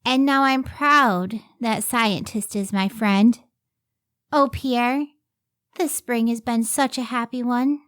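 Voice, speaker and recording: Radio play heroine: woman acting a devoted young female scientist, slow-spoken, slightly high voice. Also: fairly bright tone. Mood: happy, wistful. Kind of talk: old-time radio drama acting.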